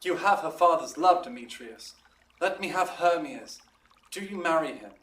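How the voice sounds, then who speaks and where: An actor playing a cheeky young lover in a Shakespearean audio drama, with wry theatrical delivery. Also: male voice, fairly high pitch, expressive, moderate pace, fairly bright tone. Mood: mocking. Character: cheeky young lover defending his courtship. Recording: roomy echo, distant from mic.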